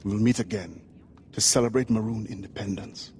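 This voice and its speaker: A man acts out a middle-aged freedom fighter in a cinematic game drama. His low voice is grave and deliberate, carrying solemn conviction in formal phrases. Faint background noise sits behind him. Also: steady tone, darkish tone, close to an everyday voice. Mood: resolute. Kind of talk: cinematic video game acting.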